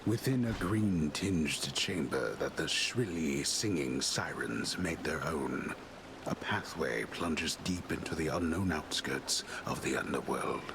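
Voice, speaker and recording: A man playing a solemn old storyteller who narrates an underworld myth in a slow, grave game narration. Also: rumbling low, animated, noisy background, full character voice.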